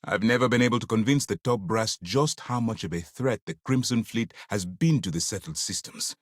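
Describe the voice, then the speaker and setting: Man playing a deep-voiced, commanding space-navy officer. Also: no echo, studio quality, close to an everyday voice. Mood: grave, measured. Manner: formal, authoritative, measured military diction. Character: stern, principled male space-navy commander, grave and commanding. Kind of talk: scripted video game character lines.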